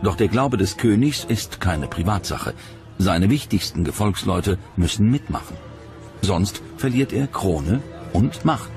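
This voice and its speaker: A man's voice: sits low, lively intonation, measured pace. A man reading scripted narration for a history documentary. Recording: music bed behind narration.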